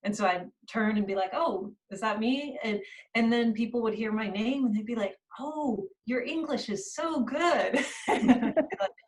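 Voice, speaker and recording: A woman's voice: on the high side, unhurried, far from the mic, poor audio. A woman author from California, reading from her book and then answering interview questions in a chatty way, with fillers and restarts. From California, USA. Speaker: author and journalist.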